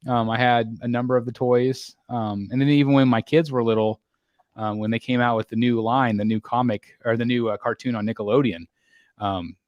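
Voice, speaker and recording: A man in his forties hosts a laid-back panel chat, pausing often with ums and restarting his sentences. His voice sits at an ordinary middle pitch. The sound is dry and close, with no room echo. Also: dull audio. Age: 40s. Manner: relaxed, many filler ums and restarts. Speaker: host.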